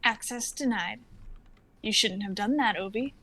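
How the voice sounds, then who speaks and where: A woman playing an impassive female laboratory computer in a live drama reading, speaking in flat, measured announcements. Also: mid-range voice.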